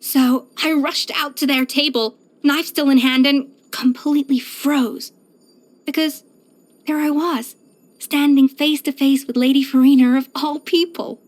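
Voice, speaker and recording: An actress voicing a proud, exacting young pastry chef in a video game, poised, self-assured and precise in manner. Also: female voice, high voice, fairly even intonation, measured pace. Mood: earnest, admiring. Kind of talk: scripted video game character voice lines.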